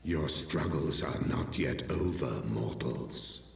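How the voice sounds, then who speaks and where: Immense, gravelly, cavernous ancient dragon. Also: male voice, expressive, slow, exaggerated voice. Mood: solemn challenge. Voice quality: deep, gravelly. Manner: archaic, stately, portentous speech. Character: ancient, solemn male dragon, huge and grave. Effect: cavernous reverb on the voice. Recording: echoing long reverb, distant, faint music.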